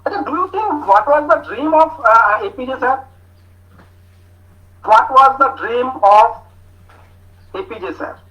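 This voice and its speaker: A man with an Indian English accent giving an online talk to students, speaking slowly with many hesitations as he reads out their answers. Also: extremely high pitch, animated, bright, forceful tone. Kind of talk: online lecture with student chat. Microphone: video call. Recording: muffled video call audio.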